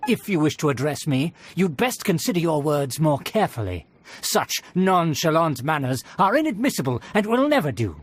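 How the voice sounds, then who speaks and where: A man's voice: fairly high pitch, big pitch swings, moderate pace. A man playing a pompous, contemptuous nobleman in a video game, speaking formally and with disdain. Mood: haughty, disdainful. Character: haughty, pompous male nobleman, contemptuous and indignant. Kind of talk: theatrical video game voice acting.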